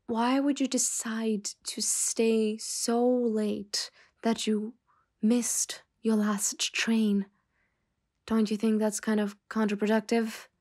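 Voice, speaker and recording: A stern female mafia boss , acted by a woman, with a condescending edge that softens. She talks slowly at a middle pitch, leaving pauses and often sinking toward a breathy near-whisper, in the intimate style of an ASMR roleplay. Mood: mildly scolding.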